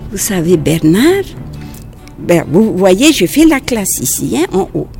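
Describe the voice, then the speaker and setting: A nun from French Polynesia with a Polynesian accent, speaking French as a second language and recalling the past in a halting, gentle way, often restarting her phrases. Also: medium pitch, big pitch swings, creaky, music in the background. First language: Tahitian. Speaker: nun and teacher. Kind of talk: outdoor interview recollection.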